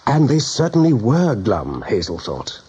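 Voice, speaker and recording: A man's voice: medium pitch, huge pitch swings, measured pace, dark tone, slight background noise. A man narrating a radio drama as a grave, dramatic storyteller building suspense. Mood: grave, uneasy. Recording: dull band-limited radio recording.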